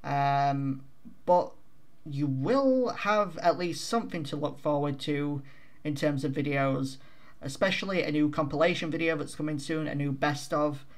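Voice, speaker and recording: A young man talks to his viewers in an unhurried, meandering way, mocking himself with lots of ums and asides. His voice sits a little high for a man. He speaks into a desk microphone at home. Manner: self-deprecating, chatty, many fillers. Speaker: vtuber channel host. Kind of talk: casual rambling update to viewers.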